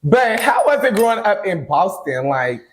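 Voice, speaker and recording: A man hosting a podcast, playful and slangy in casual chat. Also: normal speed. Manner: playful, slangy, lively pitch swings. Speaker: podcast host.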